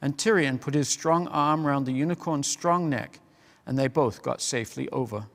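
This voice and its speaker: Gravelly man reading a storybook. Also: mid-range voice, a bit bright. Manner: measured storytelling. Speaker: reader. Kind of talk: reading a children's novel aloud. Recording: long hall reverb.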